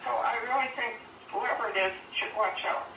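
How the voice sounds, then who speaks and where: An older woman chatting casually and haltingly on a phone call, rambling as she goes. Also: on the high side, gravelly. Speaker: phone interviewee, former resident of the house. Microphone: phone call played over speaker. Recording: distant noisy phone speaker, echoey.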